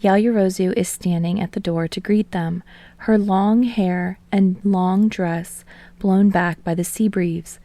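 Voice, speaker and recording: A woman's voice: mid-range voice, fairly even intonation, takes it slowly, dark tone, no echo. A woman reading scripted fiction as an audiobook narrator.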